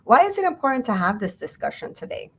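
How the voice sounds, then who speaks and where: A woman's voice: fairly high pitch, very animated, quick pace, creaky, noisy background. Chatty woman host, muffled sound. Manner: conversational, many "you know" fillers. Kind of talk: live online conversation. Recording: dull lo-fi sound.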